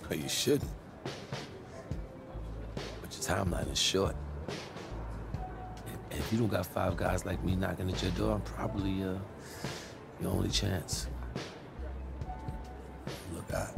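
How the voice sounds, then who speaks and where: Casting: weathered middle-aged American hoops agent, low voice, measured and streetwise, earnest game-cutscene persuader, music behind. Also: male voice, vocal fry. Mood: earnest, pleading. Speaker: basketball agent and former college recruiter. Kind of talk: video game cutscene acting.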